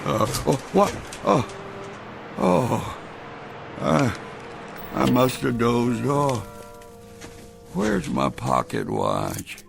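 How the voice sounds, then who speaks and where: A man's voice: mid-range voice, huge pitch swings, very slow, vocal fry, long pauses, music in the background, heavily acted. An actor playing an anxious, fussy old grandfather in a radio drama, stammering and muttering as he goes. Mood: muttering to himself. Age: older. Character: anxious, doddering old grandfather devoted to his antique clock.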